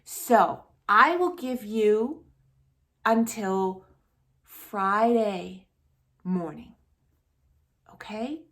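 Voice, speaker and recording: A woman's voice: medium pitch, very slow, long pauses, a bit bright. A woman hosting a children's storytime, speaking directly to young children in an encouraging, sing-song way with lots of little questions. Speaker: host of a children's storytime channel.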